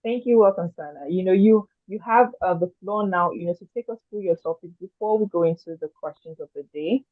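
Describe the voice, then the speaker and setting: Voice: medium pitch, a little fast, dry recording. A host introducing and encouraging a guest at an online conference over a video call. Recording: dry tinny call audio.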